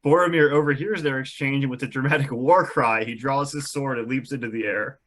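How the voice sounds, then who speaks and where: A male narrator reads scene directions from a script. His voice sits at a middle pitch, in the style of scripted narration for a table read. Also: fairly quick, a bit bright.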